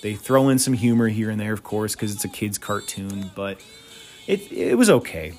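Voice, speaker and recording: A man's voice: on the low side, animated, moderate pace, a little mellow. A man hosting a solo podcast, giving blunt, opinionated reviews with crude humor. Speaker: podcast host.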